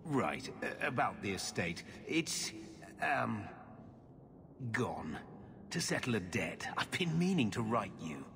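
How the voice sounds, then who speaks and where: An actor playing a shifty, weary middle-aged uncle in a video game, gruff and evasive. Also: male voice, fairly low pitch, big pitch swings, slow pace, background noise, heavily acted. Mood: awkward, evasive. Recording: music playing behind the voice.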